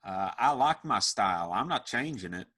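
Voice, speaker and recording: Man acting self-satisfied male musician, lowish pitch, bright forceful tone, dry drawn-out manner, screenplay table read. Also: animated. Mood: stubborn. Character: cocky self-satisfied male band member.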